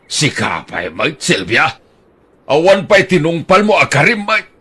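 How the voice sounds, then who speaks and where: A man's voice: a little high, huge pitch swings, a little slow, pushed and bright, breathy edge, heavily acted. An actor playing a teasing older husband who gambles, bantering with his wife in an audio drama.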